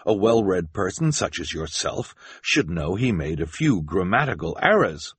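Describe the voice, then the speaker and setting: A man voicing a mild, gentle husband who speaks politely and hesitantly. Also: low voice, sing-song, moderate pace, slightly rough, dry recording, heavily acted. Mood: mildly surprised.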